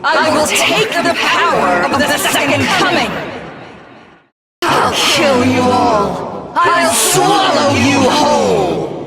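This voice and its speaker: A woman voicing a megalomaniacal, contemptuous female tyrant who craves godhood, in theatrical video game villain style. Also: high voice. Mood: raging, grandiose.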